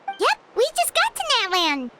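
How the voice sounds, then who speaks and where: A woman voicing a tiny, curious, chatty childlike companion in a video game, squeaky and perky. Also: huge pitch swings, measured pace, exaggerated voice. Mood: perky, inquisitive. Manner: perky, inquisitive. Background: prominent background music.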